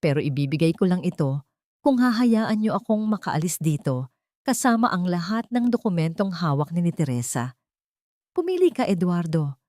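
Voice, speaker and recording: A woman's voice: lowish voice, darkish tone, dry recording, studio quality. A woman voicing a cruel, desperate female doctor who threatens and commands in an audio drama. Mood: desperate, coercive.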